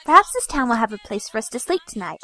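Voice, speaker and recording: A woman voicing an earnest, optimistic young pony scientist in an audio drama, speaking gently and precisely. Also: fairly high pitch, very animated, quick pace, soft background music, exaggerated voice. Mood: earnest, hopeful. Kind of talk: scripted audio drama dialogue.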